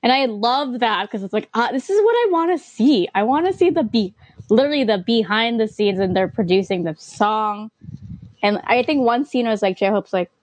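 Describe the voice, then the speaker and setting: A woman's voice: on the high side, normal speed, no room sound. Chatty young woman, dry close sound. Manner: excited fan talk with many "like" fillers. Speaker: podcast host. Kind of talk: casual podcast chat.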